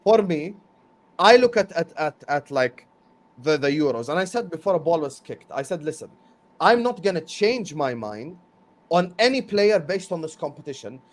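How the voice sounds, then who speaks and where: A man hosting a football stream, arguing his points emphatically and repeating words as he gets excited. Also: fairly high pitch, moderate pace. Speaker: host of a football transfer stream. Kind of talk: casual football debate on a livestream.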